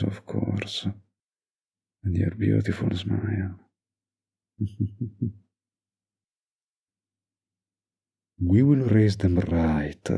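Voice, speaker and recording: A male actor plays a mafia boss's heir and devoted boyfriend in an intimate audio roleplay. His voice is very low and dark, with a heavy gravelly rasp. He speaks slowly and tenderly, sure of himself and possessive of his sweetheart. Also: heavily acted. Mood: dreamy, tender. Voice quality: low gravelly, breathy murmur. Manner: slow, tender, possessive sweet talk with pet names. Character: doting, dominant young mafia heir boyfriend. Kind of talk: intimate roleplay audio drama, scripted monologue.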